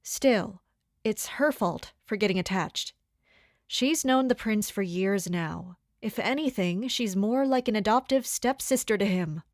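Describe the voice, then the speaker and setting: A serious, duty-bound young woman of rank in a fantasy kingdom, played by an actress. She speaks in a measured, formal, reserved manner at a medium pitch. The sound is dry and close, as in an audio drama. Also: dry recording, lightly acted. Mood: matter-of-fact.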